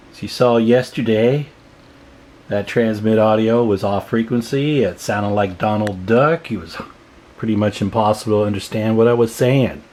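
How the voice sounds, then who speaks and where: A man's voice: mid-range voice, a little mellow. An American man giving a casual, folksy hands-on demonstration as a video host, chatting to viewers as he works. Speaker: radio repair hobbyist and video host.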